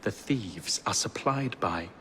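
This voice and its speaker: Fussy, animated English police sergeant. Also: male voice, fairly low pitch, slow, slight background noise, heavily acted. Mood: earnest, confiding. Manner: fussy, earnest, indignant. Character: flustered, earnest male Victorian London police sergeant undercover. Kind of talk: video game cutscene acting.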